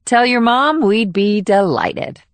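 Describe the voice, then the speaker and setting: A woman's voice: mid-range voice, expressive, slow pace, no echo. A woman playing an eager, pouty teenage girl in audiobook dialogue. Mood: eager, smitten.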